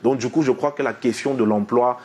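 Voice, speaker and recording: Male co-host, mid pitch, measured, keeps asking "you see?". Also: darkish tone. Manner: measured, with frequent tag questions. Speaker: radio show co-host. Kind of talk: radio talk debate.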